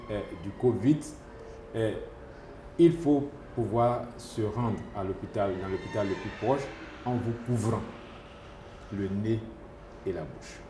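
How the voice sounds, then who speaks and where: A man speaks in a low, warm voice, explaining things as a doctor in an interview. He pauses often with fillers and restarts his phrases. The sound is echoey, with faint room ambience behind him. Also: slight background noise. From Côte d'Ivoire. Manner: hesitant, many fillers and restarts. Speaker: epidemiologist doctor. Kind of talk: interview answers.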